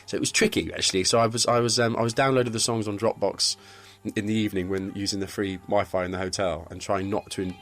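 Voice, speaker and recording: Male actor in relaxed interview, low voice, faint music underneath. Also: fast talker. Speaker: actor interviewed behind the scenes. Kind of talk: casual interview chat.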